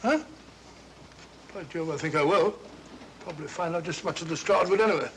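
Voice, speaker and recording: An actor playing a bumbling older English doctor, blustering and hesitant, in 1940s film style. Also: male voice, a little high, brisk, fairly bright tone, lightly acted. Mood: flustered. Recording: noisy old 1940s film soundtrack.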